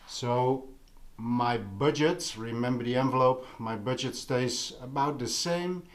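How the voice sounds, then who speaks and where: A man with a non-native English accent chatting casually in a vlog as an audio hobbyist, halting and pausing mid-thought in an unhurried way. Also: mid-range voice. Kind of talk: casual vlog product chat.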